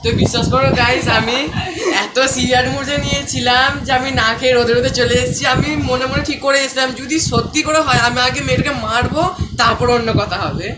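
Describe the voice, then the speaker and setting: A man's voice: extremely high pitch, fairly even intonation, fast. A young man with a West Bengali accent, telling a story casually in a home recording. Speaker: vlogger's younger relative or friend. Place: indoors at home. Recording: very noisy, echoey home recording.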